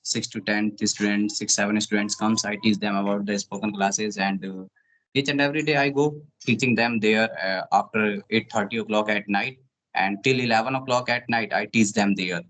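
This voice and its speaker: A man's voice: lowish voice, steady tone, normal speed, a bit bright, low-quality recording. Man speaking plainly of his teaching. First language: Hindi. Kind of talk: casual conversation.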